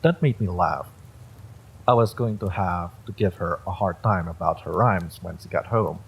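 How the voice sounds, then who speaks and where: A man's voice: deep voice, moderate pace, vocal fry, husky, poor audio. A man reading a scripted first-person horror story as its narrator.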